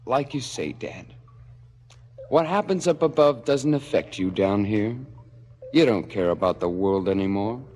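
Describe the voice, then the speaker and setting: An actor playing a rich, ruthless, vengeful son in a stylized radio drama, cold, mocking and sure of himself. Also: male voice, fairly low pitch, expressive, a little slow, darkish tone, a little hiss or hum.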